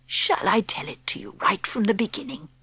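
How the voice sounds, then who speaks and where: A woman voicing a gentle, wise grandmother in her eighties, speaking slowly in a soft, whispery hush. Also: medium pitch, lively intonation, vocal fry, breathy edge, no echo, full character voice. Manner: slow and hushed.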